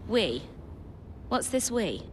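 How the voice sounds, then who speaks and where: A woman's voice: on the high side, sing-song, takes it slowly, slight background noise. An actress playing a jaded female celebrity in a video game, dry, sarcastic and dismissive. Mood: sceptical. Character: jaded, self-absorbed female celebrity, sceptical and curt. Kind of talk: video game cutscene acting.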